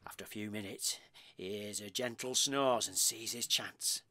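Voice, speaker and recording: An actor playing an excitable, morbid husband in a comic radio play, melodramatic and gossipy, with a British accent. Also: male voice, fairly low pitch, moderate pace, no room sound, clean studio sound. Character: excitable morbid husband spinning lurid murder theories.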